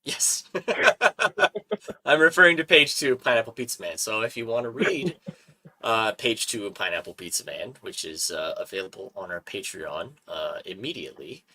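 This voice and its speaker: Man, host, bright crisp voice, animated, laughs often, casual chat. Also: lowish voice, moderate pace.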